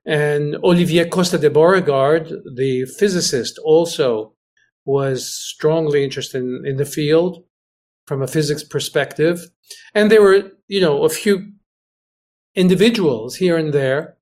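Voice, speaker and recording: A man who grew up in Greece, speaking as an interview guest over a video call, halting and often restarting as he searches for words. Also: a little high, darkish tone. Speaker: guest, researcher. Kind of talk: casual conversation.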